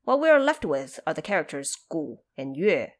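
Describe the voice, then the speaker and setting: A woman voicing a stern, wise old male patriarch in an audio drama, commanding and deliberate as he lectures. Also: deep voice, sing-song. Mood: pleased, instructive.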